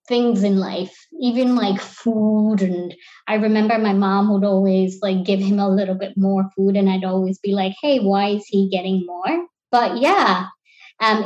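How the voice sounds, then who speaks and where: A woman in her thirties who grew up in Sri Lanka, an interview guest talking thoughtfully about her life, often pausing and restarting to find her words. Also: medium pitch, darkish tone, dull audio. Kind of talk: reflective personal interview.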